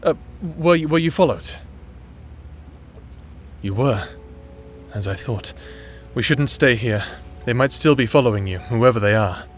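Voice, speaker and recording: A man's voice: mid-range voice, unhurried, long pauses, faint music behind, close to an everyday voice. A man playing an anxious but defiant private detective in a fantasy audio drama, with an emotive, earnest delivery. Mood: nervous, urgent. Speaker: voice actor.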